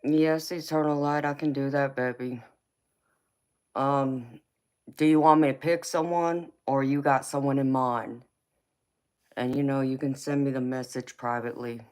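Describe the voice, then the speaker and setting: A woman's voice: rumbling low, steady tone, measured pace, darkish tone. A woman in her forties with a slight Southern American twang, chatting casually with viewers on a livestream and calling them baby and honey. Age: 40s. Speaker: tarot reader answering live chat.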